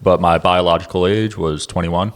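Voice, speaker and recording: A man's voice: sits low, fairly even intonation, normal speed. A man in his twenties hosting a casual interview, laid-back, giving short reactions and questions. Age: 20s. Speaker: podcast host.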